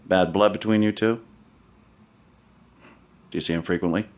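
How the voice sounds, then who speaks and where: An actor playing a shrewd, unflappable American defense lawyer in a radio drama, with a clipped, questioning manner. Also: male voice, deep voice, fairly even intonation, moderate pace, a little mellow, natural voice. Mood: measured. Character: shrewd, cool male criminal defense lawyer.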